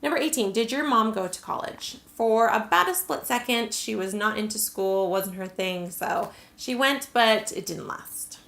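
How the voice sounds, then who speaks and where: Chatty American woman with a gravelly voice. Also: fairly high pitch, normal speed, few pauses, a bit bright, reverberant. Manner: chatty, many "like" and "um" fillers. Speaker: vlogger. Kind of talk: casual Q&A to camera.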